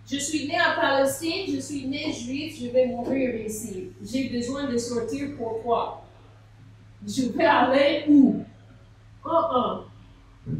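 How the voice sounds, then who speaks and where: A woman's voice: high voice, darkish tone, a little hiss or hum. A woman pastor, preaching a sermon and telling a story with rhetorical questions. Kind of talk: sermon at a seminar. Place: large echoey church hall. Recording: echoey hall from far off.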